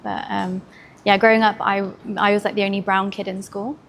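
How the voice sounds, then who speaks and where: A woman's voice: mid-range voice, level tone, brisk, vocal fry, a bit bright. A woman with a British accent, chatting casually as an interview guest in an informal, upbeat, open manner. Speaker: podcast guest, fashion designer. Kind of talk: casual conversational chat. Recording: faint background ambient noise.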